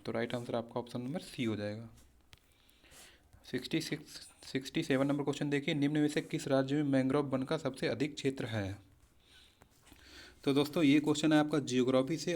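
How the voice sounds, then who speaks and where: Male coaching teacher, mid-pitched voice, instructional delivery walking students through quiz answers. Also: a little mellow. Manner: instructional, repeatedly checking key points. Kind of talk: explanatory quiz lesson, addressing students as friends.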